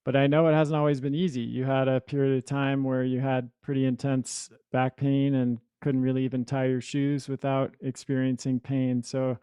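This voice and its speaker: A male podcast host with a mellow, warm tone and a measured delivery. His voice is dry and close, with no room sound. He moves from a read introduction to relaxed interview questions. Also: medium pitch, clean tone, dry recording.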